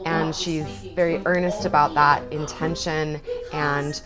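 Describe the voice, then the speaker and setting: Woman, 30s, stage actor, low pitch, interview delivery, music bed. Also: flat delivery, measured pace. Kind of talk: promotional interview about her character. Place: indoors in a theatre. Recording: music behind the voice.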